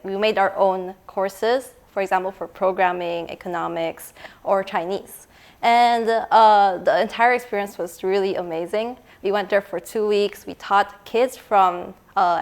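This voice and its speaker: A young woman on a student panel, lively and chatty as she tells about a trip, laughing easily. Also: medium pitch. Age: young adult. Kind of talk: casual storytelling.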